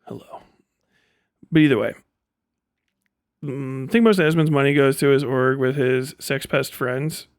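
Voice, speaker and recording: A man's voice: mid-range voice, unhurried, long pauses, smooth. A man giving off-the-cuff livestream commentary in a blunt, profane, sardonic way. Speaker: live streamer.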